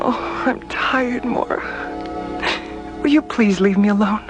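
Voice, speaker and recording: Actress as a grieving, bitter wife. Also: female voice, mid-range voice, unhurried, over loud music, natural voice, low-quality recording. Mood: bitter weary. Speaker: radio drama actor. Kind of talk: emotional radio drama acting.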